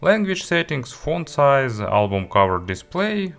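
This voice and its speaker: A man reviewing a product in an unscripted walkthrough, speaking haltingly and stumbling over words, with music playing behind him. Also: mid-range voice, big pitch swings, creaky, fairly bright tone. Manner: halting, hesitant delivery with stumbles.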